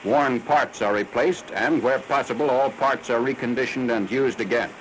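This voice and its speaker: An American man reading scripted 1940s military newsreel narration in a formal, factual, measured announcer style. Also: medium pitch. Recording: noisy 1940s newsreel film soundtrack.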